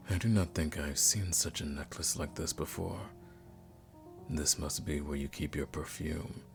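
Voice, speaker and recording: A man playing a suave, predatory aristocratic vampire in an intimate ASMR roleplay, speaking formally and seductively with a teasing menace and breathy drops toward a whisper. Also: very deep voice, exaggerated voice.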